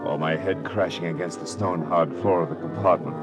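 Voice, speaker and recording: Low-voiced hard-boiled radio detective played by an actor. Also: male voice, steady tone, fairly quick, natural voice. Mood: grim, wry. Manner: terse, sardonic, clipped. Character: hard-boiled male private detective, wry and world-weary. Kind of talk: 1940s radio drama acting and first-person narration. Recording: vintage radio recording with prominent music.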